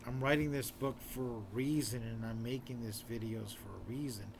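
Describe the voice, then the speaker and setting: Hoarse guy talking straight to camera, pushy and repetitive, noisy recording. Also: medium pitch, normal speed. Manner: urgent, repetitive, exhorting the viewer. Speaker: author presenting his book in a video series. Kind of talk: earnest direct-to-camera talk.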